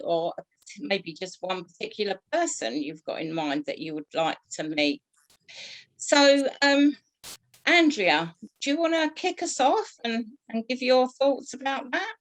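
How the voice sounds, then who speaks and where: Slow, lively-voiced woman hosting. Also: fairly high pitch, rough recording. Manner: friendly, unhurried hosting with fillers. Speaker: host and tutor. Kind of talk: casual podcast chat.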